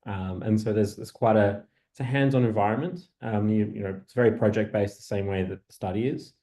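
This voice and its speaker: A man answering an industry question in an online info session, pausing often and restarting. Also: on the low side, mellow. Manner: halting, full of ums and restarts. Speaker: industry partnerships staff member.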